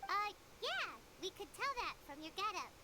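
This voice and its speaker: Tiny, very high-pitched chirpy sidekick girl. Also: sing-song, moderate pace, heavy background noise. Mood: skeptical. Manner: perky, blunt, chatty. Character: small, chirpy, cheeky childlike floating companion girl. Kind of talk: video game voice acting.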